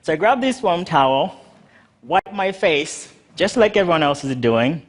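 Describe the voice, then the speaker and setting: A man from Uganda telling his life story to an audience in a humorous, animated way. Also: unhurried. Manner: humorous storytelling with lively pitch swings.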